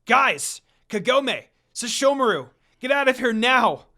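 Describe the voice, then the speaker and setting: A brash, hot-tempered young half-demon as a story reader acts him out. The storybook voice is pushed higher and swings widely in pitch. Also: big pitch swings, measured pace, bright, forceful tone, exaggerated voice. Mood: desperate pleading.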